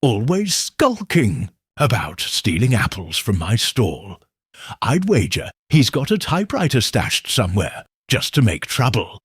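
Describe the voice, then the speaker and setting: A man's voice: medium pitch, wildly swooping pitch, unhurried, fairly bright tone, slightly rough, no echo, heavily acted. A man voicing an accusing, gossipy village shopkeeper woman with a conspiratorial hiss, in a mystery audiobook. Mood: conspiratorial blame. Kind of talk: mystery audiobook character dialogue.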